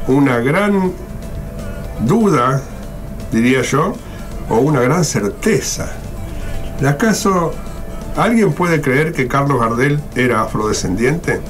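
Argentine male radio host, music behind him. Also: mid-range voice. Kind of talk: informal spoken radio monologue.

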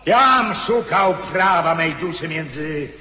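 A proud rebel nobleman's ghost in a theatrical radio play. He declaims slowly and with passion in a fairly high male voice. Long echoing reverb surrounds every phrase. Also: animated, sharp tone, full character voice. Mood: defiant, scornful. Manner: declamatory, slow, impassioned. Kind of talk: theatrical radio drama acting. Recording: echoey hall with long reverb.

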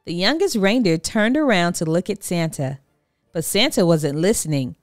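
A woman's voice: on the low side, animated, moderate pace, dry recording, clean studio sound. A woman narrating a children's storybook expressively as a read-aloud.

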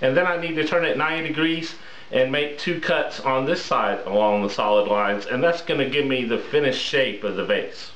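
A man in his forties, host of a home woodworking channel, talking through a project in a relaxed, plain-spoken and self-deprecating way from his shop. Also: mid-range voice, normal speed. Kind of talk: casual how-to narration. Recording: roomy shop with music bed. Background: guitar background music.

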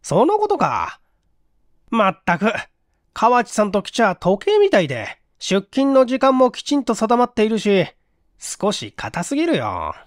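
A man voicing a young, flustered and gossipy apartment clerk in a story reading, with an excitable manner. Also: high-pitched, measured pace, no echo, clean studio sound, voice pushed up, full character voice. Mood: chatty. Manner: excitable, stammering when teased.

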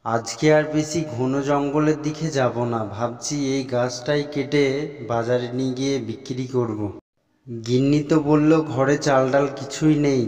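A man's voice: mid-range voice, steady tone, moderate pace, darkish tone, lightly acted. Earnest woodcutter played by a man, heavy reverb. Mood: earnest, resolved. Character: earnest village woodcutter, husband, adult man. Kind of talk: amateur Bengali cartoon dubbing. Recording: long reverb.

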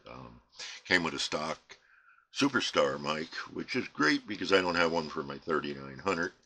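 A middle-aged American man giving a casual, unscripted show-and-tell, rambling and halting as he trails off. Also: very deep voice, pushed and bright. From United States. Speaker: CB radio hobbyist presenting his equipment. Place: indoor radio bench crowded with equipment. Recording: clear background noise, room ambience.

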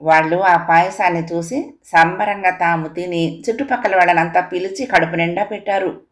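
A woman's voice: fairly low pitch, fairly even intonation, normal speed. A woman, narrating a scripted children's moral story as a storyteller.

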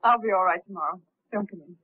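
A woman's voice: medium pitch, fast talker, no room sound, close to an everyday voice. An actress in a 1930s film playing a guarded, anxious young society woman. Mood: preoccupied. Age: young adult. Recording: tinny, narrow-band 1930s film soundtrack.

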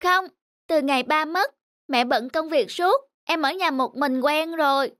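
Sulky, high-voiced schoolboy. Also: female voice, measured pace, a bit bright, no room sound, clean studio sound. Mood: lonely. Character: lonely, stubborn schoolboy left home alone. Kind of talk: cartoon voice acting.

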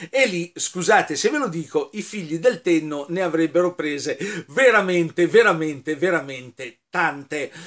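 Halting, sardonic middle-aged man. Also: on the high side. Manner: halting, with many fillers and repeated words; sardonic and joking, addressing viewers as sirs. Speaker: history video host. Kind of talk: casual talk to camera. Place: indoor room full of flags and scarves.

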